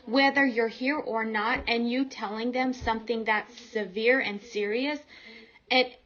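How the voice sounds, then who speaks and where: A woman's voice: a little high, fairly even intonation, a little slow, a bit bright. A woman in a heated domestic argument, upset and worried, speaking firmly and insistently. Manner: insistent, firm. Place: indoors at home. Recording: muffled home recording, voices around.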